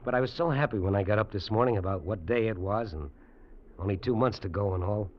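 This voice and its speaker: A man's voice: on the low side, fast, close to an everyday voice. An actor playing a lonely fugitive man longing for his wife, speaking earnestly and wistfully, in 1940s radio drama style. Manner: earnest, wistful and soft-spoken. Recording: dull old radio recording, faint hiss.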